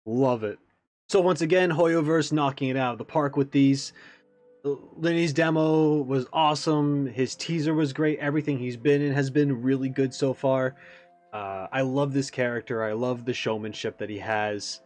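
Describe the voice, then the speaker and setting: A man's voice: mid-range voice, fairly even intonation, normal speed, a little mellow. A man hosting a reaction video, giving casual, off-the-cuff commentary. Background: faint music.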